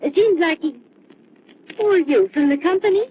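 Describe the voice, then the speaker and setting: Wary, folksy mother, distressed and curt, high voice, old tinny radio serial. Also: animated, moderate pace. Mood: upset, suspicious. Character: curt, suspicious, distraught working-class mother. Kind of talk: 1930s radio drama acting. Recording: tinny, noisy 1930s radio recording.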